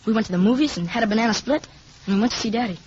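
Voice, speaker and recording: A performer, a woman, playing an eager, chatty, excitable young boy in a 1950s radio drama. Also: fairly high pitch, rapid-fire, husky. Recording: dull, muffled 1950s radio recording.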